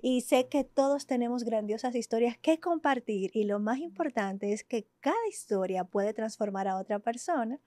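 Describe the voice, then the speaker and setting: A woman's voice: fairly high pitch, animated, normal speed, few pauses, mellow, no echo. A woman hosting a podcast, welcoming her guest with enthusiasm and asking questions. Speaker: podcast host.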